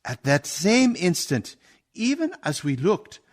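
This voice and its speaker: An actor playing a commanding, solemn vampire hunter in a radio play, with intense, theatrical delivery. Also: male voice, sits high, huge pitch swings, slow pace, full character voice. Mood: grave.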